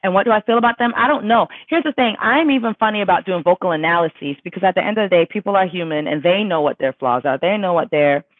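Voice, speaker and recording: A woman's voice: on the low side, fast talker, hardly any pauses. Lady voice coach answering chat questions live, chatty and emphatic, thin phone-ish sound with no room echo. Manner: chatty and emphatic, many fillers and self-corrections. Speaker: vocal coach. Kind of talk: casual livestream Q&A, reading viewer questions aloud. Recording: tinny dry phone-like sound.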